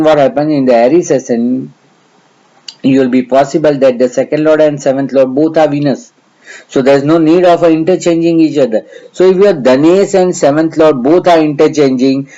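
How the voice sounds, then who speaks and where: A man's voice: on the high side, dark tone, dull audio. A middle-aged man from India with a Gujarati accent, teaching astrology in a hesitant, earnest way with many fillers. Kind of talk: lecture.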